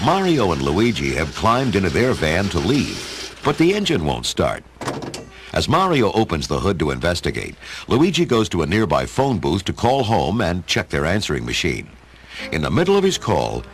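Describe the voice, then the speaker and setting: A man reading scripted narration for a dramatic audio story. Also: low voice.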